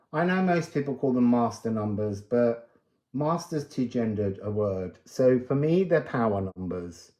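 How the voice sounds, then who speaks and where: Mellow-voiced man musing, in a roomy space. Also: medium pitch. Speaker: card reader and spiritual guide. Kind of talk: unscripted spiritual card reading monologue.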